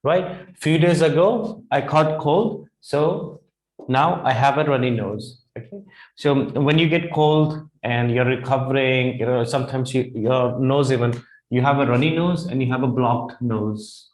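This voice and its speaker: A man in his thirties with an Indian English accent, teaching vocabulary at a whiteboard. He repeats phrases slowly and keeps checking in with "right?". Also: mid-range voice. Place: classroom in front of a whiteboard. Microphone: lapel mic. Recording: echoey classroom.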